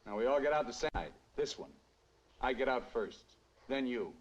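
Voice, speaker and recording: Male actor playing menacing captor, American, medium-pitched, curt and slangy, vintage crime movie, muffled audio. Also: expressive, moderate pace, close to an everyday voice. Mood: cold, menacing. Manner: curt barked commands, tough slangy grammar. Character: menacing, cold-blooded male gunman holding drivers captive. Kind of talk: 1950s American crime film acting. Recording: muffled old film soundtrack, noisy car rumble.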